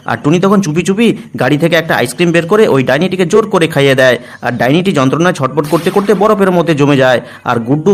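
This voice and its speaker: A man reading scripted storybook narration for children's cartoon tales. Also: a little high. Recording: music bed behind the voice. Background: background music.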